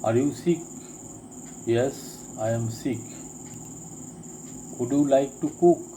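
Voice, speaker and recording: A man teaching a spoken lesson, reading each drill sentence twice with deliberate care. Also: mid-range voice, noisy background. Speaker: English teacher. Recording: crickets and insects chirping behind.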